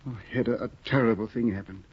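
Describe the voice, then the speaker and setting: An actor playing an excitable, fussy young scholar husband in a 1950 radio drama, flustered and exclaiming, on a dull old broadcast recording. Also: male voice, medium pitch, fast, breathy edge, lightly acted. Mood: fretful. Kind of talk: 1950s radio drama acting.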